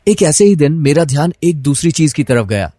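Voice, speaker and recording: A male actor as a troubled, suspicious husband narrates his own story in a fairly high male voice. The delivery is scripted and dramatized, like an audio story. The recording is dry and close. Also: very animated, moderate pace, dark tone, no echo.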